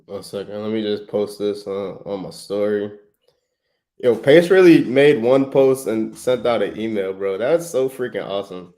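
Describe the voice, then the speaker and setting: A man from Trinidad, hosting a livestream and making a relaxed, friendly sales call to a property owner, full of slang and quick restarts. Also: fairly low pitch. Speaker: real estate investor, livestream host. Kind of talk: casual livestream chat and sales phone call.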